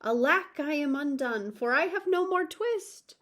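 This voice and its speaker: A woman's voice: sits high, expressive, unhurried, a bit bright, voice pushed up. A woman voicing a poor, fretful old male tailor in a storybook, lamenting in dramatic old-fashioned words. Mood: despairing.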